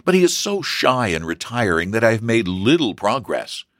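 A man's voice: lowish voice, big pitch swings, moderate pace, a bit bright, dry recording, clean studio sound, pitched above the performer's own voice. A man voicing a good-humored, affectionate young brother in a storybook reading. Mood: earnest.

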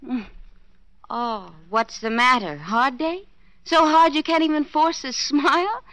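An actress playing an affectionate, teasing wife who coaxes and coos in a 1940s radio drama. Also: female voice, sits high, measured pace, fairly bright tone. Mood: playful teasing. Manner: teasing, cooing. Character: playful, coaxing, affectionate young wife.

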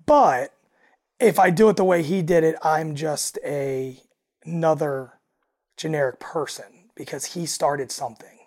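Raspy young male musician from Delaware, animated guest who stumbles and restarts. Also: on the high side, unhurried. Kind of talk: casual interview chat.

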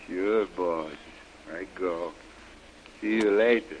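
An actor playing a big, obedient henchman who speaks halting broken English in a 1930s radio adventure. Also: deep voice. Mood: admiring, obedient. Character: big, obedient henchman with a slow, simple manner. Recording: muffled old radio recording, faint noise.

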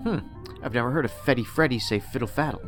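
A man's voice: medium pitch, quick pace, lightly acted. Cerebral male investigator over prominent music, acted by a man. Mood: detached, analytical. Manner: precise, formal, detached, musing. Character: cerebral, pedantic, socially awkward male federal investigator. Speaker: actor in audio drama. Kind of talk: science fiction audio drama acting. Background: music under the voice.

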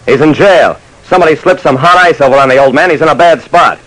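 A man's voice: on the high side, fast, a bit bright, dry recording, rough recording. An actor playing a wry, slangy detective who narrates his case in a clipped old-time radio style. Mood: firm. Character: dry, soft-hearted male detective telling his case. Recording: vintage radio broadcast.

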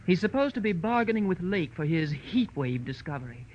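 A woman's voice: lowish voice, very animated, fairly quick. An actress playing an imperious, cold, wealthy society woman, haughty and commanding, in 1940s radio style. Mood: bitter. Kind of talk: 1940s radio drama acting. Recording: muffled, faintly noisy vintage radio.

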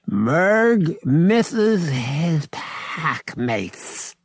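A huge cat-like alien bodyguard in a storybook. The speech is very slow, growled and husky, in broken English with words missing. He is gruff yet friendly, and the pitch moves about widely. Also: big pitch swings, no room sound, heavily acted. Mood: wistful.

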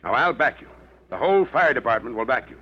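An actor playing a steady, authoritative, fatherly fire chief in an old radio serial. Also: mid-range voice, fast talker, lightly acted. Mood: steady, backing. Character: steady, supportive older fire chief, male. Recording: dull, noisy old radio recording.

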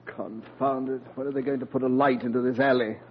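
A man's voice: mid-range voice, flat delivery, a little fast, close to an everyday voice. An actor playing a vain, womanizing old-school thespian in 1940s radio comedy style, plummy, pompous and declamatory. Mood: flustered. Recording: muffled, noisy old radio recording.

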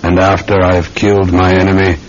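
An actor playing a steady English police sergeant in an old-time radio drama, speaking in a measured, restrained way. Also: male voice, sits low, natural voice. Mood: entranced, obedient. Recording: muffled, hissy old radio recording.

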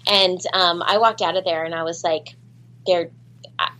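A woman's voice: fairly low pitch, fairly even intonation, moderate pace, bright, forceful tone. Chatty woman telling stories. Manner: chatty, self-deprecating, many fillers and restarts. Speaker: voice actress guest. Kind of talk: casual interview storytelling.

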